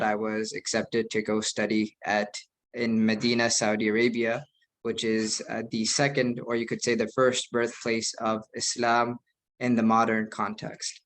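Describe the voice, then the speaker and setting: A young man, an imam raised in the United States, giving an earnest, measured presentation to an online group. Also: fairly low pitch, fairly bright tone, low-quality recording. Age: young adult.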